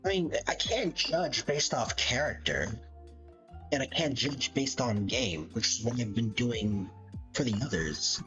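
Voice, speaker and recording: A casual live streamer with a very animated, swinging pitch and a slow pace, thinking aloud in an amused ramble. The recording is dull and lo-fi, with music playing behind the voice. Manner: amused, laughs often. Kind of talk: casual livestream commentary, ranking game characters. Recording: dull lo-fi mic with background music. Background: background music.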